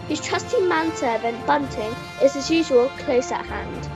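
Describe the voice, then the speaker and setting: A woman's voice: high-pitched, animated, unhurried. A woman acting as the dramatic narrator of a comic melodrama radio play. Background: music.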